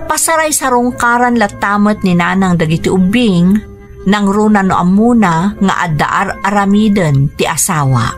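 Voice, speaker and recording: A woman's voice: mid-range voice, normal speed, soft background music, lightly acted. A woman playing a loving young working wife and mother in a radio drama, earnest and tender. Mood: reflective, tender. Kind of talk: Ilocano radio drama acting.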